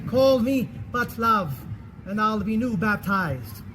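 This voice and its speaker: Man acting slow-spoken dreamy husband, noisy recording. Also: high-pitched, animated. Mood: romantic, earnest. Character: sleepy dreaming husband who fancies himself a romantic Romeo. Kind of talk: theatrical one-act stage comedy acting. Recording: noisy live stage recording.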